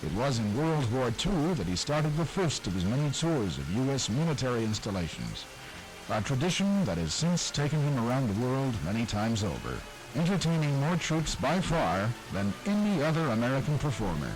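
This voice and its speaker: A man announcing and introducing an archived radio segment in scripted narration with measured, formal delivery. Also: mid-range voice, big pitch swings, music in the background, poor audio.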